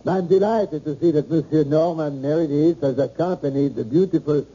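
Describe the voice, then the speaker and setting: A man's voice: a little high, warm tone, close to an everyday voice. A man playing a pompous, ceremonious French cooking-school master with a French accent, in old-time radio drama style on a muffled recording. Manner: ceremonious, halting formal delivery.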